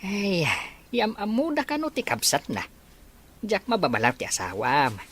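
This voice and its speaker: A woman voicing a grumbling, easygoing old Ilocano grandfather in a family audio drama, husky and full of muttered interjections. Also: mid-range voice, huge pitch swings, a little slow, a little hiss or hum. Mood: concerned.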